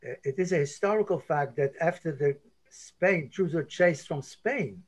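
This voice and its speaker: A man from Poland comments casually to a group in a somewhat high voice. He talks haltingly, with fillers and restarts, thinking aloud as he goes. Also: slightly rough.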